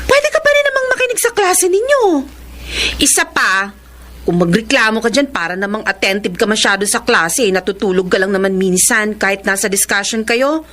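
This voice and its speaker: An actress playing a hardworking, sharp-tongued young businesswoman and bossy elder sister in a Filipino radio drama, with an expressive, preachy delivery. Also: medium pitch, moderate pace, lightly acted. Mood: scolding.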